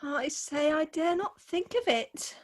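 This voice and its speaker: A woman's voice: high voice, animated, slow pace. A woman playing a sentimental, jealous young bride in a Victorian comic radio play, with an earnest, declamatory delivery. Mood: suspicious. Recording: remote home video-call recording.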